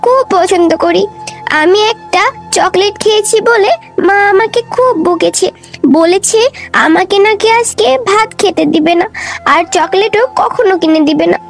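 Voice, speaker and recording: A woman's voice: extremely high pitch, a little slow, soft background music. A woman voicing an earnest, easily hurt little village girl in a children's cartoon, with a childlike, pleading manner. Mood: sad. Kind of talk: Bengali children's cartoon voice acting.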